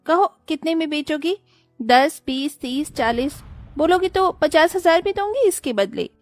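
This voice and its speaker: A woman's voice: high voice, lively intonation, unhurried, no room sound, soft background music. A woman playing a mocking greedy neighbor. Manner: mocking, pushy.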